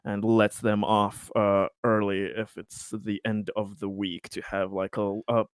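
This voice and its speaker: Man, tabletop roleplayer, halting casual narration, clean smooth voice, very dry close sound. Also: fairly low pitch, flat delivery, no echo. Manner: halting, hesitant phrasing with long pauses. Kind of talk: casual in-game narration of their character's plans.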